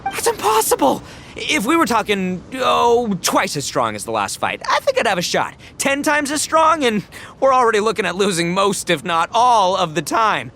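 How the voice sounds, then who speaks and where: A bombastic, excitable young man from a video game. His delivery leaps widely in pitch, swinging between solemn earnestness and big dramatic outbursts. There is faint ambient noise behind him. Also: moderate pace, full character voice. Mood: incredulous despair. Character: boisterous, theatrical young man, earnest beetle-battling enthusiast. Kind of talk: animated video game acting.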